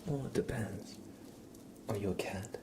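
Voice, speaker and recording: A man hosting an ASMR livestream, chatting casually with viewers in a soft, breathy whisper, rambling and gently playful. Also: on the low side, measured pace, noisy background.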